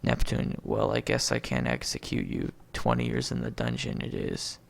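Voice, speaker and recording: Man, amateur script reading, very creaky fry, lively pitch swings, stumbles on words, reads out stage directions. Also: moderate pace. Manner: reads names and punctuation aloud, stumbling over words. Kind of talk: reading a movie script aloud, stage directions and all lines.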